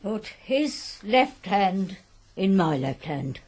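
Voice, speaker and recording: A woman's voice: medium pitch, very animated, slow pace, darkish tone, breathy edge, full character voice. A woman playing a commanding witch who chants spells in a solemn ritual manner, in a vintage radio drama. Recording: noisy old radio recording.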